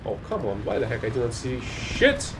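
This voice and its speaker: A man's voice: medium pitch, big pitch swings, normal speed, background noise. A young man who speaks English as a second language, rambling and correcting himself as he casually commentates his own gameplay. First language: not English. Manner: rambling, self-correcting, mildly exasperated. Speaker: gameplay streamer.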